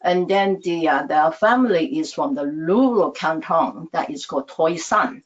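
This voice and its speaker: Woman, first language Taiwanese, quiet halting English, fairly low pitch, lo-fi call sound. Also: lively intonation. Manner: soft-spoken, halting non-native English. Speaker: hospital staff interpreter. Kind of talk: panel talk on a video call. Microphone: video call. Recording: dull lo-fi video call audio.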